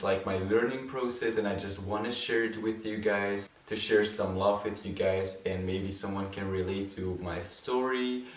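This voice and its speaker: A young man with a Dutch accent, a non-native English speaker, hosting a poetry channel, talking gently and hesitantly and reading poems aloud. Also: on the low side, recorded from a distance. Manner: gentle, unhurried, hesitant asides with many fillers. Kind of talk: soft spoken-word poetry reading. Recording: echoey room, set back from the mic.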